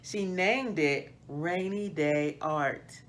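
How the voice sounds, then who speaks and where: A middle-aged woman hosting a children's read-aloud, reading storybook style with deliberate, expressive phrasing. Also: deep voice. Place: small plain indoor room.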